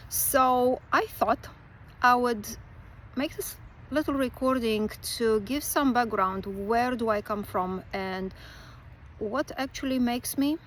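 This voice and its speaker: A woman from Romania, a folklore writer and teacher talking through a reflective personal monologue with many pauses. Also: on the high side, fairly bright tone, slight background noise.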